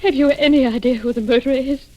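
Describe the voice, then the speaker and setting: A woman's voice: high voice, steady tone, fast, a little mellow, dull audio, a little hiss or hum, close to an everyday voice. A woman playing a fragile, grief-stricken young widow, melodramatic and pleading in 1940s radio style.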